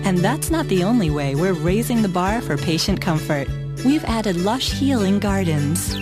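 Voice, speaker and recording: A woman doing scripted voice-over narration for a radio advertisement. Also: medium pitch. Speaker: commercial voice-over announcer. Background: music bed.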